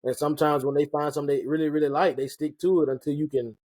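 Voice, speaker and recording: A man hosting a casual interview, relaxed and slangy, often restarting his questions. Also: a little high, fast talker, dry recording. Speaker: interview host and rapper.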